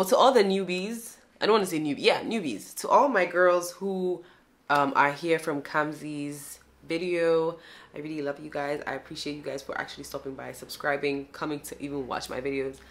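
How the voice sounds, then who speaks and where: A woman's voice: deep voice, moderate pace. A young woman chatting casually to her viewers from home, full of fillers and asides to them. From Ghana. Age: young adult. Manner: chatty, filler-heavy, addresses viewers as guys. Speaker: vlogger. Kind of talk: casual chat to camera. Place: at home indoors.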